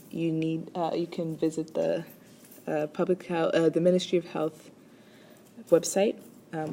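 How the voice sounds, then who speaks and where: A woman's voice: sits low, gravelly, dark tone, a little hiss or hum. A woman from Rwanda presents a class assignment as a chiropractic student, speaking hesitantly with many ums and restarts.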